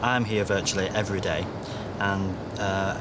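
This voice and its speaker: Man, tour worker, hesitant, fillers, lowish voice, outdoors, loud traffic. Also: fairly even intonation, moderate pace. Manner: hesitant, many uhs. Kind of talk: interview answers. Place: outdoors by a street.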